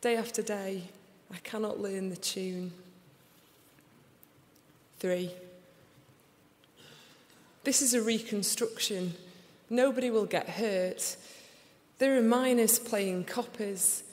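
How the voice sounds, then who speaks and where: Slow Sheffield woman reading poetry. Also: mid-range voice, darkish tone. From England. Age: young adult. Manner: slow, deliberate recital. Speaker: poet. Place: large concert hall. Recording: live reading in a reverberant hall.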